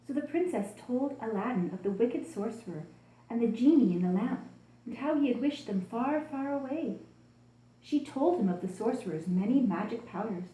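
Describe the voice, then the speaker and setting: A woman reading a story expressively and deliberately for children. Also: on the high side, echoey room, poor audio. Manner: expressive, deliberate storytelling addressed to young listeners.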